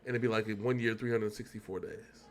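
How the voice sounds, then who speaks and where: A man's voice: lowish voice, flat delivery, quick pace. An American man hosting a reaction show in casual, slangy, rambling chat.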